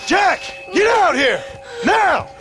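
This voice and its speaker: An actor playing a gruff, wry American husband and father of the Old West in a video game, with a dry, commanding manner. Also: very high-pitched, sing-song, takes it slowly, a crowd in the background, full character voice. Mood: alarmed, commanding. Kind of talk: video game cutscene acting. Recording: loud music behind the voice. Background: loud music.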